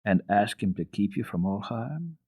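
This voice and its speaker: Kind old storybook grandmother, played by a male reader: low, husky, patient, gently coaxing. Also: animated, moderate pace, no room sound. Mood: earnest, kind.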